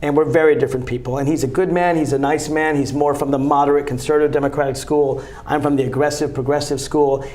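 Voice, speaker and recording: A man with a fairly high speaking voice makes his campaign pitch in a TV studio interview. He talks fast and emphatically, pressing each point like a fighter. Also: steady tone, a little mellow. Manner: emphatic, combative, rapid-fire argument. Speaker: congressional candidate. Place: local cable TV studio.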